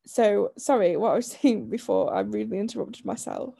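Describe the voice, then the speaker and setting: A woman co-hosting a podcast in casual chat, her voice croaky from a lingering chest illness, talking chattily with frequent laughs and restarts. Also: medium pitch, sing-song, moderate pace, mellow. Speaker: podcast co-host.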